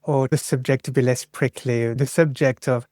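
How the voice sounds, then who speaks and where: A man's voice: mid-range voice, fairly even intonation, moderate pace, a little mellow, no echo, studio quality. A middle-aged man from France with a French accent, speaking reflectively and explaining his thoughts in a conversational interview. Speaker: podcast guest, psychosexual psychotherapist.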